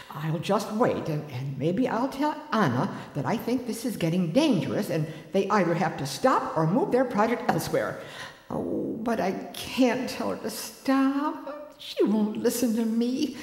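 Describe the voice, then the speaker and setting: In a reverberant, echoey space, a worried, indecisive sister. Her fairly high voice rises and falls a lot as she frets to herself in a comic radio play. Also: a little mellow. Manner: worried, dithering. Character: anxious, nosy, indecisive sister fretting aloud. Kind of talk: comedic radio play monologue. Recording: echoey, reverberant hall sound.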